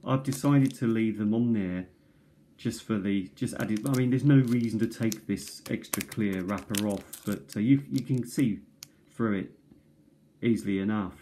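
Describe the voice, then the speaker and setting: Hesitant man, mellow voice. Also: on the low side, moderate pace, slight background noise. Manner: hesitant, many ums and restarts. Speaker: cassette tape collector. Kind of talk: casual show-and-tell. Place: kitchen table. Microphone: tablet camera mic.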